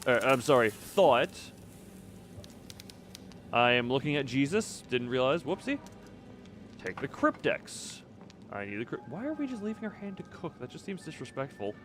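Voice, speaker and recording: A man's voice: medium pitch, very animated, moderate pace, long pauses, noisy background. A man giving casual live game commentary, thinking aloud with playful, quirky turns of phrase. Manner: playful, quirky word choices. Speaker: video game streamer.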